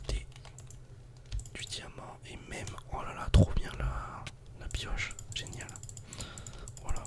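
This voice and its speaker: A man whispers casual ASMR game commentary in a breathy, unvoiced voice, speaking directly to the viewer. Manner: casual, soft-spoken, addressing the viewer directly. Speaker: ASMR gaming video creator.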